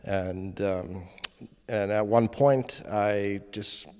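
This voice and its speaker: A young man from Indonesia, a film editor being interviewed, talking casually with many pauses and fillers. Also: on the low side, steady tone, a little mellow, smooth. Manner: hesitant, many fillers and restarts. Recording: long reverb on voice.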